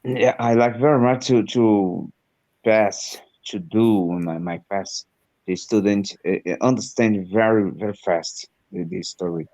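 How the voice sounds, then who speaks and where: A male English teacher whose first language is Portuguese, speaking halting English full of fillers over a video call. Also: fairly low pitch, darkish tone. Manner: halting non-native English, many fillers. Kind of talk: casual conversation practice.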